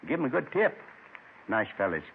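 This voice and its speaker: An actor playing a lonely, gentle man in his seventies, folksy and wistful, confessing in short rambling phrases in 1950s radio drama style. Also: medium pitch, very animated, normal speed, vocal fry, husky, heavily acted. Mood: earnest. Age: 70s. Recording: muffled 1950s radio recording.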